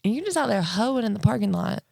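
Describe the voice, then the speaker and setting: A woman's voice: medium pitch, very animated, quick pace, gravelly, a little mellow, dry recording, clean studio sound. A woman co-hosting a podcast in a casual comedic chat, blunt and teasing with crude humor. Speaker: podcast co-host.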